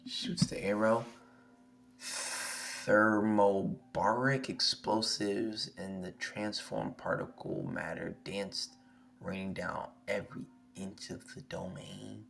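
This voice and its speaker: A hoarse young man on a live stream, reading a manga chapter aloud and reacting excitably, swearing freely. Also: fairly low pitch, slow pace. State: hoarse, losing his voice. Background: faint music.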